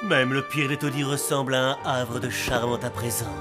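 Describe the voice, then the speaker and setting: An actor playing a sappy, adoring husband who speaks in flowery sweet talk in a French cartoon dub. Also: male voice, medium pitch, steady tone, normal speed, loud music behind the voice, close to an everyday voice. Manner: flowery, lovey-dovey.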